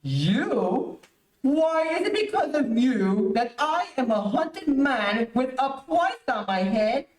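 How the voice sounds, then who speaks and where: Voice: high voice, sing-song, takes it slowly, exaggerated voice. An actor playing an imperious, stern rebel prince in an old radio serial, declaiming commands. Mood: grand, commanding. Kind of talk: 1930s radio serial acting. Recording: echoey room, distant microphone.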